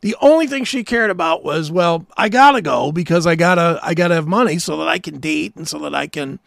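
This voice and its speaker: A man's voice: fairly high pitch, fairly quick, gravelly, fairly bright tone, no room sound, studio quality. A middle-aged American man co-hosting a talk show, chatting casually and bluntly with strong opinions and some swearing.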